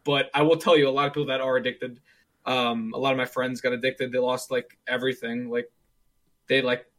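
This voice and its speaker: A man's voice: mid-range voice, level tone, brisk, fairly bright tone. A man in his twenties chatting casually about gaming, rambling with lots of fillers and restarts. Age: 20s. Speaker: streamer.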